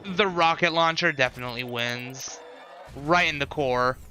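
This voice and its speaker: Bright-voiced male streamer, musing casually. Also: fairly high pitch, unhurried, poor audio. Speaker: live streamer. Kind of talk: casual livestream commentary and reaction. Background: game showcase trailer audio and music.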